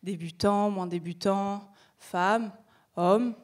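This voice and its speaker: A young woman founder giving a prepared stage talk, measured, with rhetorical questions and light humor. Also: mid-range voice, steady tone, darkish tone. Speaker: project founder presenting.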